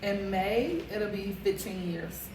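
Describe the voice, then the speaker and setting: A woman's voice: medium pitch, takes it slowly, far from the mic. Chatty woman recorded echoey and off-mic. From Philadelphia. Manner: chatty, rambling, with many fillers. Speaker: caterer and realtor, interview guest. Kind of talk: casual interview conversation. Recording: echoey room, off-mic, noisy.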